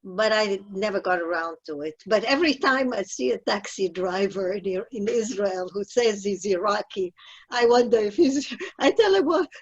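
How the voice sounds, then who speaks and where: An older woman from Iraq recalling her life in an unscripted discussion, animated, with hesitant phrasing. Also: medium pitch, normal speed, breathy edge, highs cut off. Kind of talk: unscripted personal recollection in discussion.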